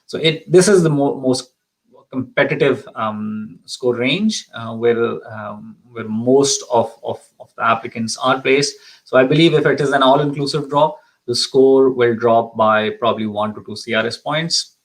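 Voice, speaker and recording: Man from Pakistan, consultant hosting live Q&A, halting, full of ums, mid-range voice. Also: mid-range voice, fairly even intonation, low-quality recording. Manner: hesitant, many ums and restarts. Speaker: immigration consultant host. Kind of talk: live Q&A session.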